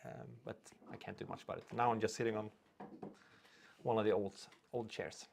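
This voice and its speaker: A man's voice: on the low side, fairly quick, creaky, slight background noise. A young Swedish man, speaking English as a second language, hosting a casual livestream. He rambles, reads viewer comments aloud and trips over his words. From Sweden. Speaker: livestream host. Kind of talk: casual live chat reading viewer comments.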